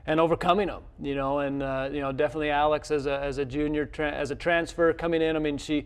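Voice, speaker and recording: Male college coach, slightly high voice, casual interview, halting and repetitive delivery. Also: fairly even intonation, clear, resonant tone. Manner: halting, repeats words and restarts often.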